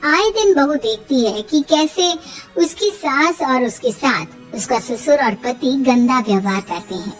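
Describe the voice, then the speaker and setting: A woman's voice: high voice, expressive, measured pace, far from the mic, faint music behind. A woman reading scripted narration for a cartoon story.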